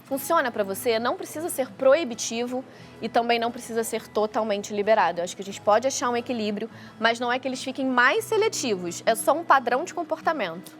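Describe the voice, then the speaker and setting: Higher-voiced Carioca woman explaining. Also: animated, quick pace, fairly bright tone, faint music behind. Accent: Carioca (Rio de Janeiro) Brazilian Portuguese. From Rio de Janeiro, Brazil. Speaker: guest child feeding specialist. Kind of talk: explanatory talk show interview.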